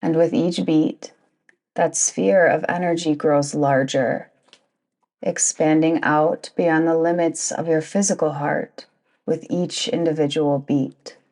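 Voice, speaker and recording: A woman guiding a yoga nidra meditation in a soothing, deliberate manner, with long pauses between phrases. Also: deep voice, steady tone, darkish tone. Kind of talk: guided meditation narration.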